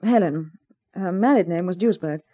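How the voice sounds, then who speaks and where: A woman's voice: on the low side, normal speed, highs cut off, lightly acted. An actress playing an anxious, well-bred Englishwoman in a 1950s radio mystery, speaking politely and earnestly with some hesitancy. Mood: cooperative. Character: anxious, well-bred Englishwoman, glamorous client seeking help.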